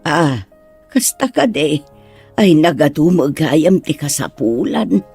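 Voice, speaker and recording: A woman as slow, husky concerned mother. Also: deep voice, animated, a little mellow, faint music behind, full character voice. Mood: concerned, tender. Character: gentle, concerned middle-aged mother. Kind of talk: Ilocano radio drama acting.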